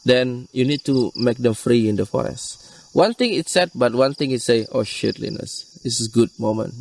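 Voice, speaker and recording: A man, a wildlife rescue worker, speaking earnest and halting English in an interview outdoors. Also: medium pitch, creaky. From Indonesia. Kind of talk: interview answers. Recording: distant mic, faint insect noise.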